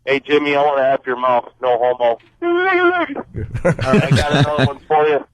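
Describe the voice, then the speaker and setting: A man's voice: mid-range voice, big pitch swings, normal speed, a crowd in the background. A man calling in to a radio show by phone, joking casually. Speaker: phone-in caller. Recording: dull lo-fi phone call.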